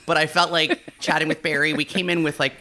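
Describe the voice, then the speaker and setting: A man's voice: fairly high pitch, little pitch movement, quick pace, pushed and bright. A man co-hosting a podcast in casual chat, gushing and slangy, with "like" sprinkled throughout. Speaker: podcast co-host.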